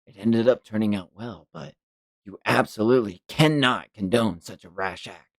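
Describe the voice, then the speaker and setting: A man's voice: lowish voice, moderate pace, dry recording. A man voicing a cautious, grumbling ninja adviser who speaks seriously and scoldingly in a manga read-along. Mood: stern.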